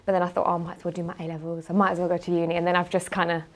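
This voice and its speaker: A young British woman in her twenties speaks with a slightly low voice. She is an interview guest, chatting informally and telling anecdotes in a hedging, conversational way. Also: little pitch movement, rapid-fire. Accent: British English. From grew up partly in Spain, lives in the UK. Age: 20s. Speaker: interview guest, marketing manager.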